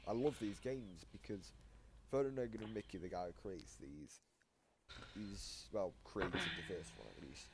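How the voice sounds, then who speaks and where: A man's voice: low voice, a little slow, slight background noise. A man giving casual commentary on a horror fan game as a co-host. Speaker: reaction video co-host.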